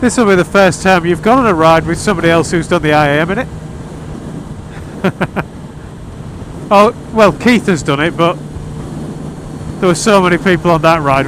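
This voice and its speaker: A man's voice: a little high, a little fast, long pauses. A man with an English accent, chatting casually and jokily over a motorbike intercom, rambling a little with dry humour. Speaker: motorcycle vlogger. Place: outdoors, largely riding a motorbike. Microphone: helmet intercom microphone. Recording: loud wind and engine noise. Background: wind, motorbike engine and road noise.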